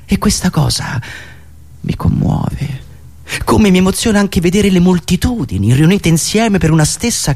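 Male monologue performer, Roman accent, highish and very lively pitch, ironic, dramatic delivery. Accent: Roman Italian. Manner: ironic, dramatic shifts between muttering and loud declaiming. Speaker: satirical monologue performer and radio show host. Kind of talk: theatrical satirical monologue.

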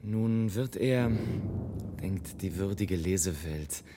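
Low-voiced, sardonic, irascible airship adventurer. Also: male voice, takes it slowly, background noise. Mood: mocking, confiding. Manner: sardonic, declamatory, bitterly ironic. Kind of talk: theatrical German radio play monologue.

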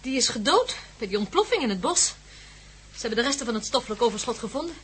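A woman's voice: fairly high pitch, sing-song, fast, fairly bright tone, slight background noise. A woman acting in a radio drama as an anxious, earnest companion of a band of adventurers. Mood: worried, reporting.

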